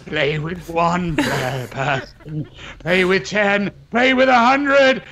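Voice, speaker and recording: A man putting on a deep, over-the-top movie-trailer announcer voice, drawing out the words and swooping up and down. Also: sharp tone, slight background noise, heavily acted. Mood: grandiose. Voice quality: gravelly, deliberately deepened, swooping and over-the-top.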